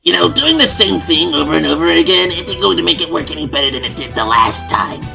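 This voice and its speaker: Man doing a gravelly, theatrical cartoon monster villain. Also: a little high, lively intonation, quick pace, music in the background. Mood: annoyed. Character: cartoon male eight-armed monster villain, blustering then peevish. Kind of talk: parody audio drama acting.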